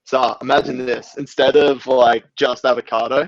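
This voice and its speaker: A man's voice: mid-range voice, flat delivery, normal speed, dry recording. Sweary young Australian man, dry muffled sound. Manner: joking, sweary. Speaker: podcast guest or band member. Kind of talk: casual group banter.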